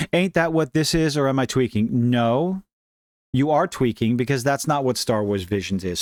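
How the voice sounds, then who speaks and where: A man's voice: medium pitch, dry recording, clean studio sound. A man hosting a casual commentary show, reading and reacting to online posts in an opinionated, wry, informal way.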